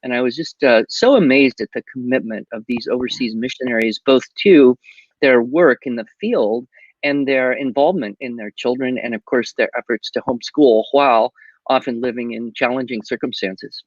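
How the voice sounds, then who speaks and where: A man's voice: medium pitch, normal speed, dry recording, muffled recording. A man talking over a video call as a guest speaker, chatty and wry, with a habit of telling jokes. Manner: wry joke teller, chatty and digressive. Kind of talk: casual interview chat.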